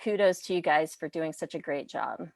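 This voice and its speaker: American woman, lowish voice, dry recording, casual interview guest, language instructor. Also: animated, normal speed, creaky, no room sound. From US Midwest. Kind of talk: casual interview chat about personal background.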